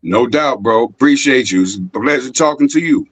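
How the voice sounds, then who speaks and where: A man hosting a casual online chat, speaking in a rambling, halting way with many fillers. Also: medium pitch, lively intonation, no echo. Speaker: host. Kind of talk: casual chat on a live stream.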